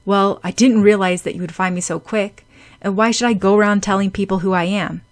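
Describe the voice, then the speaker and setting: A woman's voice: medium pitch, steady tone, a little fast, close to an everyday voice. A woman doing a cold, mocking schoolboy. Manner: sharp-tongued, condescending.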